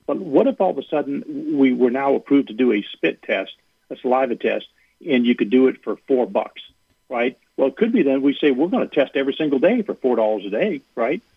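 A gravelly, husky man comes through a tinny phone line. He speaks as a university athletic director in an earnest way, often restarting his sentences. The sound is dry, with no room around it. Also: mid-range voice, expressive, fairly quick, no room sound. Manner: earnest, repeats words when starting sentences. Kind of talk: radio call-in interview.

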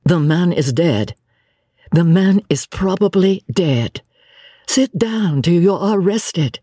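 A woman reading the part of an earnest young man who speaks bluntly and haltingly. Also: fairly low pitch, lively intonation, dark tone, slightly rough, dry recording, studio quality, pitched above the performer's own voice, full character voice. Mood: insistent.

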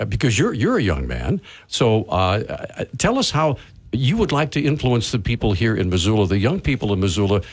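Brief: male talk radio host, low voice with lively swings, stumbling delivery. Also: a little fast, breathy edge. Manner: stammering, repeats words. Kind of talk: radio interview. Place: radio studio.

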